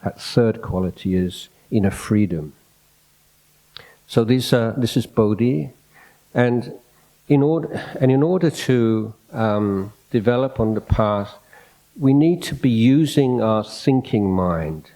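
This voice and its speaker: A Buddhist monk gives a talk in a hall through a microphone. His voice is soft, low and mellow. He speaks reflectively, pausing on fillers and restarting his phrases as he thinks aloud. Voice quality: soft-spoken. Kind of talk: unscripted dhamma talk.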